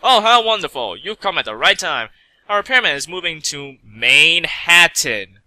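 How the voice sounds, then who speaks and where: A man's voice: on the high side, animated, normal speed, pushed and bright, dry recording, rough recording. A man voicing a pleasant pony town mayor in a story reading. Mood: pleased.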